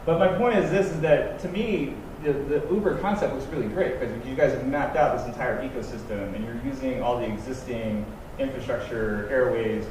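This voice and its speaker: Male panelist, lively anecdote, distant echoey room. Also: mid-range voice, a little fast. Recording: echoey hall from afar, noisy.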